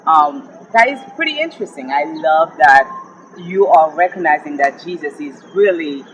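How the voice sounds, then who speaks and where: High-voiced upbeat male interviewer. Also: lively intonation, a bit bright. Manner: affirming and upbeat, quick to agree. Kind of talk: casual faith interview. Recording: dull, noisy recording.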